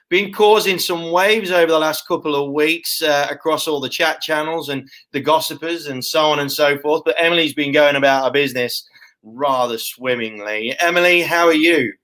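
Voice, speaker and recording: A man's voice: fairly high pitch, a bit bright. A man hosting a live interview show, chatty and full of fillers, heaping praise on his guest. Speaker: host.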